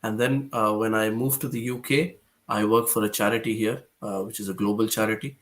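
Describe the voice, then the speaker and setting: A man from Pakistan, a guest author answering interview questions hesitantly, often pausing and restarting. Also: fairly low pitch, flat delivery. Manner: hesitant, with frequent "uh" fillers and restarts.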